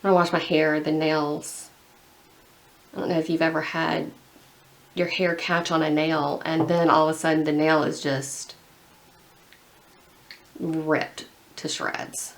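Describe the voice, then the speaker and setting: A woman's voice: deep voice, little pitch movement, takes it slowly, long pauses, low-quality recording. A young woman chatting casually while painting her nails, rambling and full of "like". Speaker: beauty vlogger.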